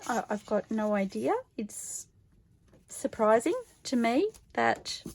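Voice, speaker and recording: A woman with a croaky voice, chatting casually to camera about her craft project, thinking aloud and correcting herself as she goes. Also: on the high side, animated, slow pace, darkish tone. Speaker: crafter vlogging her junk journal project. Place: home craft table, filmed from overhead.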